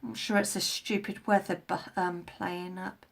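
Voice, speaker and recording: A woman's voice: lowish voice, little pitch movement, normal speed, gravelly. Woman with a head cold. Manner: rambling, chatty, many fillers and restarts. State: congested with a head cold, coughing. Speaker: craft vlogger. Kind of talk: casual live-stream chat while crafting. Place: at home indoors. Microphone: tablet.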